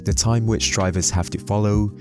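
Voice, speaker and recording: A man's voice: on the low side, steady tone, normal speed, music in the background. A man giving a scripted explainer narration as the host of a video.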